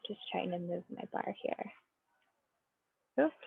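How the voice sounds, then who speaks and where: A woman giving a research presentation on a video call, explaining in a measured way with small restarts. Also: lowish voice, flat delivery, creaky, slightly rough, dry recording. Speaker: guest speaker presenting research. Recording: tinny phone-like line, dry.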